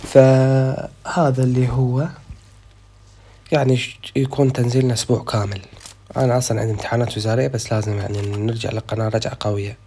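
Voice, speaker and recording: A man's voice: medium pitch, little pitch movement, quick pace, pauses often, dark tone, slight background noise. A young man with an Iraqi Arabic accent, hosting a gaming channel and chatting casually while a little sick. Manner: chatty, loose, thinking aloud. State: slightly sick. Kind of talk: casual gameplay commentary.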